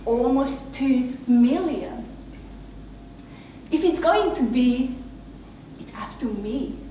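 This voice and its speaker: A woman with a Hungarian accent, a native Hungarian speaker, giving a reflective spoken essay in a slow, measured, heartfelt way. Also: high voice, expressive, warm tone, slight background noise. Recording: very echoey room, mic at a distance.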